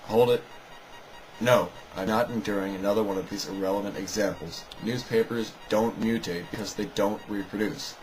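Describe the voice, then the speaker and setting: A man with a fairly low voice delivers scripted commentary as a rebuttal. He sounds sarcastic and dismissive as he argues his points. Music plays clearly behind him throughout. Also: fairly even intonation, normal speed, poor audio. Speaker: video narrator rebutting another video.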